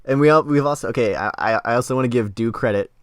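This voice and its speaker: A man's voice: medium pitch, fast talker, no room sound, studio quality. A man co-hosting a podcast, talking casually about music in an appreciative, informal way. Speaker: podcast co-host.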